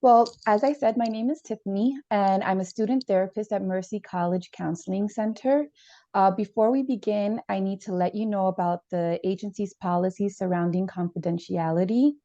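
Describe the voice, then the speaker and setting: A woman's voice: medium pitch, moderate pace, warm tone, no room sound, dull audio, natural voice. A woman playing a student therapist in a role-played counseling session, explaining policies formally and hesitantly, with many fillers. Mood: calm, formal.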